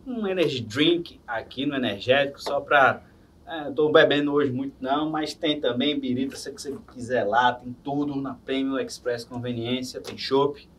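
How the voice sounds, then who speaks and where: A man in his forties hosts a casual podcast in a relaxed, chatty way. His voice sits at a middle pitch and is picked up from a little distance, with faint noise in the room behind him. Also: moderate pace. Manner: relaxed, chatty, reads sponsor plugs. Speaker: podcast host. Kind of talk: casual interview chat.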